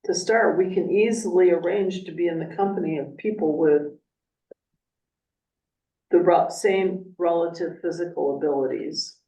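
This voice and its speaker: A discussion leader speaking calmly and inviting others to share examples. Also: medium pitch, unhurried, muffled recording. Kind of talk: facilitating a group discussion. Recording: distant and echoey.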